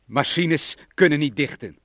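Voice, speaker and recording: A man's voice: fairly high pitch, lively intonation, a little slow, a bit bright, no echo, clean studio sound. An actor playing a meek, earnest male clerk in a radio play, deferential and hesitant. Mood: doubtful, protesting.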